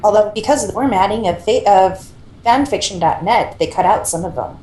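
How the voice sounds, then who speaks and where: Casting: woman, amateur story reader, soft dark tone, roomy echo with faint noise. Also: medium pitch, brisk. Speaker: co-reader in a group reading. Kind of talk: amateur fan fiction narration and casual chat.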